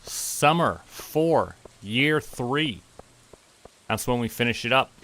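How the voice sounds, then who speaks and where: A man's voice: mid-range voice, lively intonation, slow pace, a bit bright, low-quality recording. A man, playfully thinking aloud and narrating what he does as he streams a game. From Canada. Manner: playful, narrates own actions and reads game text aloud.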